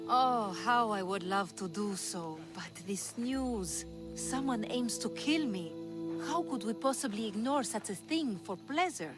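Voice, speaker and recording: A woman's voice: mid-range voice, expressive, measured pace, over music, lightly acted. An actress playing a proud, ambitious young Greek woman in a video game, earnest with a coy, teasing edge. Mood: determined. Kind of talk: video game cutscene acting.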